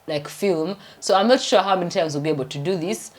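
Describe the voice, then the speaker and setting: A woman's voice: lowish voice, quick pace. A Ugandan woman in her thirties with a Ugandan accent, hosting a chatty, rambling home vlog. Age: 30s. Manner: chatty, laughs often, rambling. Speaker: vlogger and doctor. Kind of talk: casual vlog chat.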